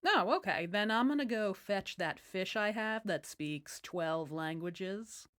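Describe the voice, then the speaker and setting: A woman's voice: mid-range voice, takes it slowly, fairly bright tone. A woman voicing a grudging merchant father bargaining in a fairy tale, casual and evasive.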